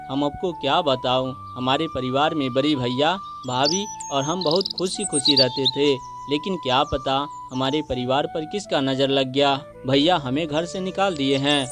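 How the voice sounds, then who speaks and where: High-voiced humble younger brother played by a man. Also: close to an everyday voice. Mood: sorrowful. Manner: earnest, polite. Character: humble, honest, hard-working poor younger brother in fable. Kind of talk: animated moral story dialogue.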